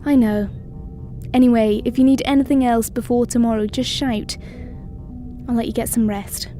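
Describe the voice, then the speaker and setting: Female actor as a matter-of-fact orbital controller, slightly high, warm tone, faint music bed. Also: steady tone, normal speed, close to an everyday voice. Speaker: mission control officer. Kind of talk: audio drama acting.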